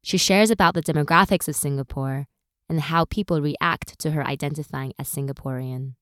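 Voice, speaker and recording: A woman's voice: deep voice, normal speed, a bit bright, no echo, clean studio sound. A woman giving a short scripted introduction in a neutral, informative way. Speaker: narrator. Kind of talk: scripted narration.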